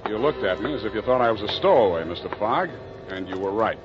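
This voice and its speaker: An American actor playing a wry, hard-boiled private detective, narrating deadpan in a 1940s radio drama. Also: male voice, fairly low pitch, fast, close to an everyday voice. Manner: deadpan, wisecracking. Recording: muffled old radio recording, music.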